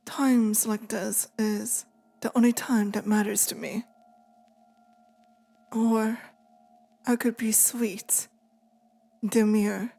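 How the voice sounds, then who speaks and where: A woman playing a lonely, clingy demon in an intimate ASMR roleplay, speaking tenderly and hesitantly. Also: on the high side. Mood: clingy, sweet. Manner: slow, hesitant, tender, softly pleading. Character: lonely, clingy female sleep paralysis demon, wistful and possessive.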